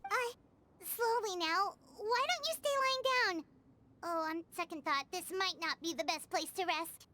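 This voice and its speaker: A woman voicing a small, childlike fairy companion in a video game, squeaky and emphatic, fiercely supportive. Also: unhurried, sharp tone, exaggerated voice. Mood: concerned. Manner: emphatic, exclamatory. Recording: game music underneath.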